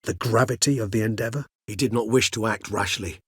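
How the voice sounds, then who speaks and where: An actor voicing an erudite, gentle, world-weary old vampire scholar in a video game, speaking in measured, courtly, bookish phrases. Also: male voice, lowish voice, breathy edge, no room sound, studio quality. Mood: grave, reflective. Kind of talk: video game character dialogue.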